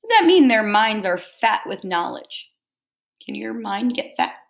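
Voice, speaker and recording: A woman teacher reading a picture book aloud to children, expressively and deliberately, pausing to put simple questions to them. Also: mid-range voice, sing-song, creaky. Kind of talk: storybook read-aloud with questions to young listeners.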